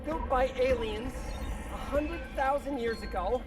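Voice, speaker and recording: An actor playing an agitated, sardonic male scientist in a science-fiction game, with an excitable manner. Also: very high voice, a little slow. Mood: exasperated. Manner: excitable, exasperated. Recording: distant, very loud music behind.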